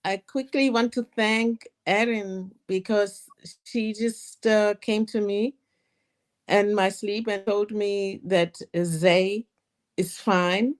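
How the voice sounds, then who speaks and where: A woman from Cuba on a video call, speaking slowly and haltingly as she gives grateful thanks. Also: mid-range voice, fairly even intonation. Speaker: community member asking in.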